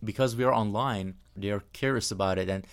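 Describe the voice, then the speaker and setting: A man's voice: fairly low pitch, a little fast, vocal fry. A man from Iran with a Persian accent, a native Persian speaker, speaking earnestly and emphatically as a pastor in a podcast interview. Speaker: pastor and house church trainer.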